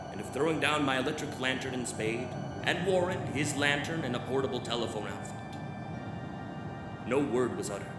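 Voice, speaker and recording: Haunted male scholar for live radio horror played by a man: grave, formal, emphatic, middle-pitched, in an echoing theater. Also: lightly acted. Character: anguished, haunted male occult scholar giving a statement. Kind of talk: theatrical old-time radio drama narration. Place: theater stage, live performance. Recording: roomy live stage, music underneath. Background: clear music.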